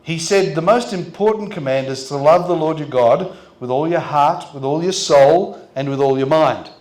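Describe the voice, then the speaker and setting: An older man with an Australian accent gives a devotional sermon through an interpreter, keeping his phrases short and gentle. His voice sits a little high for a man. The sound carries the strong echo of a large room. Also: normal speed. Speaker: visiting pastor. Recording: roomy hall echo.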